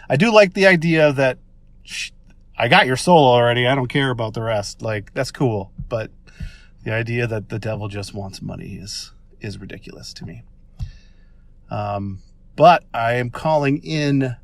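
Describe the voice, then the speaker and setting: A man's voice: mid-range voice, no echo. An American man leaving a casual voice message, talking in an enthusiastic, informal and rambling way. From Minnesota, United States.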